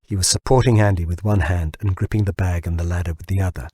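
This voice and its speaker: Male narrator, deep-pitched, rough-edged, scripted fiction reading, dry close sound. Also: steady tone, quick pace, mellow, no echo. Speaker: audiobook narrator.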